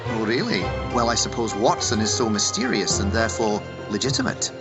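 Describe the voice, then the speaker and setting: An actor playing a whimsical, eccentric time-travelling doctor who banters and jokes in a radio drama. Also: male voice, fairly low pitch, very animated, brisk. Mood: teasing. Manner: bantering, jokey. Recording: loud music bed.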